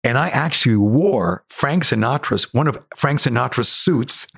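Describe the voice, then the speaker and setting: A man in his sixties from Cuba with a Cuban accent, an actor telling stories in a casual chat, animated and laughing often. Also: medium pitch, unhurried, gravelly, husky, no room sound, clean studio sound. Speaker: actor guest.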